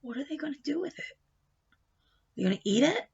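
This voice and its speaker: Animated high-voiced woman reading to children. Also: lo-fi sound. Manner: expressive, coaxing, pauses to question and prompt young listeners. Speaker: storyteller reading a picture book aloud to children. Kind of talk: animated children's read-aloud with questions to the listeners.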